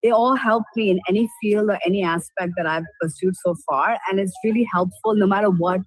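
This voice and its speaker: A woman's voice: medium pitch, brisk, rough recording. A young woman, giving a personal testimonial as a graduate who studied abroad. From India. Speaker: business graduate, study-abroad alumna.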